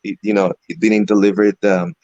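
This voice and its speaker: Low-voiced Venezuelan-raised man, halting delivery. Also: flat delivery, a little mellow, no echo, muffled recording. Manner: halting, frequent "you know" fillers. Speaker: interview guest, electric skateboard rider. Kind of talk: casual video call interview.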